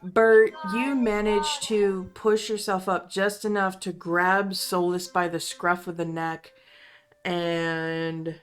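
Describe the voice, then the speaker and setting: A woman's voice: on the low side, fairly even intonation, slow. A woman acting as game master, narrating a tabletop roleplaying game. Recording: music under the voice. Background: instrumental music.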